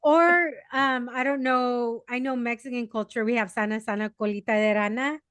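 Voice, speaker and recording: A woman co-hosting a podcast, chatting casually and telling animated stories with fillers. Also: a little high, moderate pace, clear, resonant tone, dry recording. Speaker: podcast co-host. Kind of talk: casual chat.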